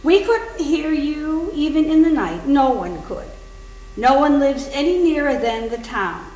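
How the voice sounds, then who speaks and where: A woman's voice: high-pitched, lively intonation, measured pace, darkish tone, voice pushed up. Woman playing a cold, ominous housekeeper, echoing room. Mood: ominous warning. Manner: stiff, formulaic, repetitive. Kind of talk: staged readers theater. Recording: roomy, echoing hall with hum.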